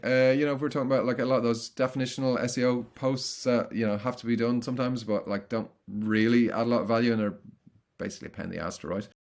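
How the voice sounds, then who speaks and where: A man's voice: medium pitch, steady tone, a little mellow. A middle-aged man answering interview questions in a casual, chatty way, often restarting his sentences. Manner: quick, chatty, frequent restarts. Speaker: guest, content marketing consultant.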